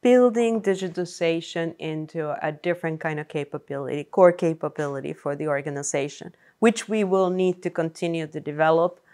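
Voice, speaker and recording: A woman hosting an interview, asking measured questions and rephrasing them as she goes. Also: low-pitched, darkish tone. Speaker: podcast host.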